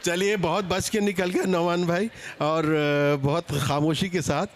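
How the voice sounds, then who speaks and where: A man's voice: high-pitched, fairly even intonation, measured pace. A man moderating a live panel discussion on stage, politely bantering with speakers and inviting questions.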